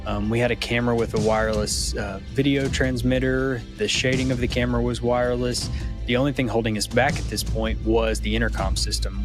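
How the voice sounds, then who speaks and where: A man giving a casual testimonial, explaining things in a matter-of-fact way. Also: medium pitch. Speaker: church communications director.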